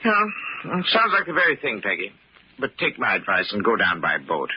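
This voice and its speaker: A man's voice: on the low side, wildly swooping pitch, normal speed, breathy edge, heavily acted. An actor playing a paternal family doctor in an old-time radio drama, avuncular and emphatic in his cautions. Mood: concerned. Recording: muffled, faintly noisy old radio recording.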